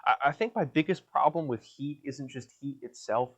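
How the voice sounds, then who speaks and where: A young man with a nasal voice hosting a solo podcast from a hot basement, rambling in a crude, deadpan way with lots of restarts, his mouth dry and a little coughy. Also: medium pitch, moderate pace. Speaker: podcast host.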